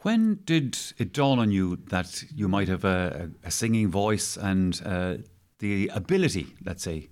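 A man's voice: low voice, lively intonation, unhurried, a little mellow. A man hosting a conversational interview, introducing and putting questions to a guest. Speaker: interviewer, host. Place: hotel in Ireland.

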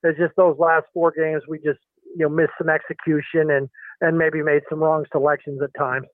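Casting: middle-aged man, pitching coach calling in by phone, halting delivery, dry and lo-fi. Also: flat delivery, dry recording. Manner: halting, many fillers and restarts. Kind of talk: phone interview. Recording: tinny phone line.

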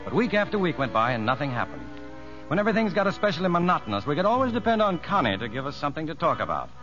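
An actor playing an earnest, rueful prison psychologist in a 1950s radio drama, speaking and narrating in a measured, educated way. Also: male voice, a little high, very animated. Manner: measured, educated, gently self-deprecating. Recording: muffled old radio broadcast with music.

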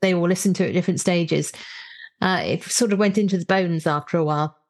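A woman's voice: on the low side, fairly quick. A middle-aged British woman hosting a podcast, chatting conversationally as she reviews books. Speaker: podcast host. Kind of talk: conversational book review discussion.

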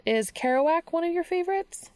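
An eager, kind teenage girl from an audiobook, acted out by a woman narrator. She is chatty, bookish and upbeat, pitched high, with a dry, close sound. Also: animated, normal speed, darkish tone, no echo. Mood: bright, eager. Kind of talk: audiobook character dialogue.

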